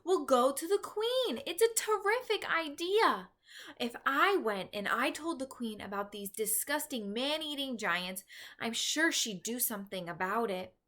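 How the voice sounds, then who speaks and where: A woman voicing an excitable, determined young orphan girl with emphatic, urgent delivery in a children's storybook. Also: high voice, a little slow, pitched above the performer's own voice. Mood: excited. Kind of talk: children's storybook read-aloud.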